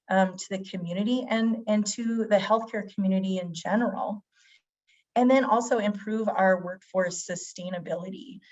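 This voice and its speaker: A woman physician giving a webinar presentation, her voice a little scratchy as she gets over a cold. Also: medium pitch. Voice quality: slightly scratchy. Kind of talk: webinar presentation, semi-scripted. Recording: dull, band-limited webinar audio.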